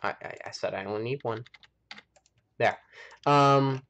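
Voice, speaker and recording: A man's voice: mid-range voice, a little slow, fairly bright tone. An American man hosting a game live stream, chatting casually and rambling as he thinks aloud. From United States. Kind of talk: casual chat while playing a game.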